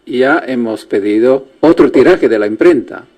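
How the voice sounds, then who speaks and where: A man's voice: medium pitch, expressive, normal speed, darkish tone. A man speaking as a guest on a radio interview, explaining things in a matter-of-fact way. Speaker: studio guest.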